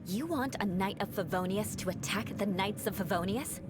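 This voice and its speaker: A woman's voice: medium pitch, normal speed, very noisy, close to an everyday voice. A young woman voicing a proud, aloof noblewoman knight in a video game, speaking in a cool, composed and dry manner.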